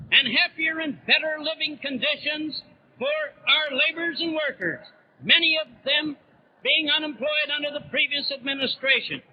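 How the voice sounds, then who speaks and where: A man with an American accent delivering a formal, projected political speech as a convention delegate in the 1930s. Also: extremely high pitch. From United States. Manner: declamatory, projected. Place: large convention hall, public-address speech. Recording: muffled, noisy 1930s radio broadcast recording.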